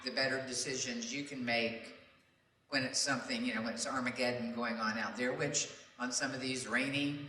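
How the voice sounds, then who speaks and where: A man's voice: medium pitch, fairly even intonation, normal speed, pushed and bright. A middle-aged man speaking on a panel as a traffic reporting veteran, telling anecdotes in a chatty, folksy way. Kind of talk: animated anecdotal panel talk. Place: large room at a public meeting. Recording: roomy echo, distant mic, background noise.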